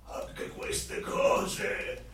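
A man's voice: drawn-out words, a bit bright. A man acting a chatty, muddled, grumbling old grandfather reminiscing in a radio play. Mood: nostalgic rambling. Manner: chatty, rambling, confused. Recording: echoey, distant, faint noise.

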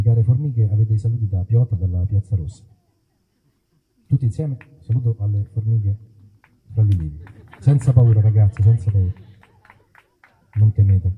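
A man hosting a live outdoor show in an olive grove, talking to the crowd with wry, mock-solemn stage patter. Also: medium pitch. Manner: wry, mock-solemn, playfully self-interrupting. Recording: husky voice over a tinny, phone-like line.

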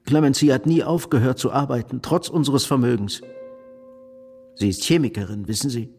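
Really worked-up emotional son in a radio whodunit, echoey hall, music under. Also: male voice, medium pitch, moderate pace, darkish tone, breathy edge. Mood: distraught, effusive. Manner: agitated, effusive. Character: overwrought, emotional grown son of a dead patriarch. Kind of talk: radio crime drama acting.

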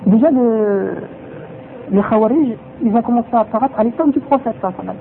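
A man's voice: extremely high pitch, fast talker, gravelly. A man giving a religious lesson who drops in Arabic phrases and repeats his points for emphasis. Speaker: religious teacher. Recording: tinny phone-like sound with faint noise.

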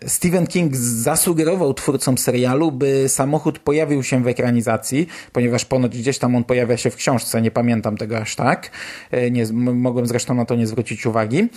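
Guy doing podcast news, mid-pitched voice, chatty and into it. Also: darkish tone. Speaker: podcast host. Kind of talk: casual news commentary on a podcast.

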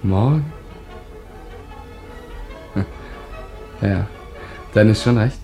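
An actor playing a jovial, sly young village farmer in a radio drama, hearty and knowing as a host to strangers. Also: male voice, fairly low pitch, extremely slow, warm tone, faint music behind. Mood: sly amusement. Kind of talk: German radio drama acting.

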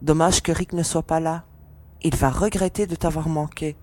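A woman's voice: low-pitched, steady tone, moderate pace, warm tone, natural voice. A woman playing a confident, friendly young mercenary agent in a science fiction audio drama. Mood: warmly welcoming. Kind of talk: French audio drama acting.

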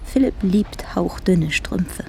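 Slow, creaky, melancholy woman. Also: lowish voice, expressive, a little mellow, slight background noise. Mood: wistful, resigned. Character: melancholy woman musing on lost finery. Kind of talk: radio drama inner monologue.

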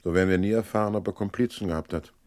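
An actor playing a dry, sardonic police inspector in a German radio crime drama. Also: male voice, deep voice, racing, darkish tone, no room sound, natural voice.

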